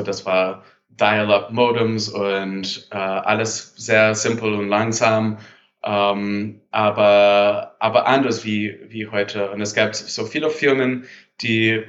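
A man's voice: on the low side, fairly bright tone. A man from Canada in his thirties, a native English speaker with a Canadian accent, answering interview questions hesitantly while searching for words. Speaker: guest entrepreneur. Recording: dull lo-fi sound.

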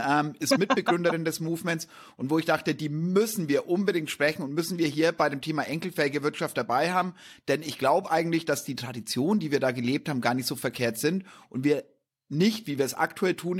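Husky-voiced man musing at a podcast. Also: a little high. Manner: thoughtful, measured, with frequent fillers. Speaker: podcast co-host. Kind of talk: casual podcast conversation.

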